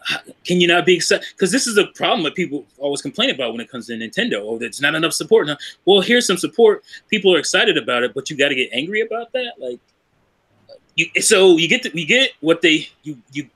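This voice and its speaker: A man's voice: on the high side, bright, forceful tone, slightly rough. A man co-hosting a podcast, chatting casually and giving his opinions in an exasperated, conversational manner. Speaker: podcast co-host.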